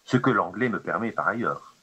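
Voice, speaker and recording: Deep-voiced man, hesitant. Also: lively intonation, brisk, pushed and bright, a little hiss or hum, poor audio. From Lebanon. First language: Arabic. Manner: hesitant, many fillers and restarts. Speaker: artist, conference guest. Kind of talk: answering questions at a conference discussion.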